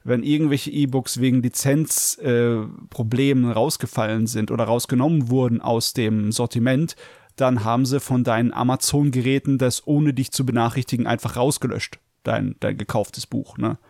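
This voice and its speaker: A gravelly-voiced man co-hosts a podcast in casual conversation. His pitch sits in the middle range, and the recording is dry, with no room sound. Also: steady tone, normal speed, a little mellow, dry recording. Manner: chatty, laughs while telling stories. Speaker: podcast co-host. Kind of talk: casual chat.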